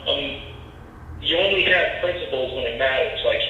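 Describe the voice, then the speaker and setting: A man's voice: fairly high pitch, moderate pace, slight background noise. A man calling in to a talk show, talking in an earnest, reflective way. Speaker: caller. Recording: dull, echoey, distant clip.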